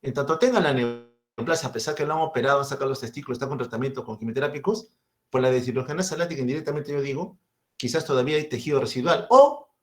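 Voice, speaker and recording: A man in his fifties with a Peruvian Spanish accent, giving a medical lecture and asking and answering his own questions. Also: medium pitch. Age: 50s.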